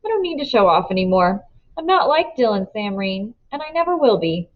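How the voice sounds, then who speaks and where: A woman's voice: mid-range voice, very animated, brisk, highs cut off, lightly acted. A woman voicing a smug, clever schoolboy in a children's novel, with formal, emphatic phrasing. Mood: proud, calm. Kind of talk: children's novel read aloud.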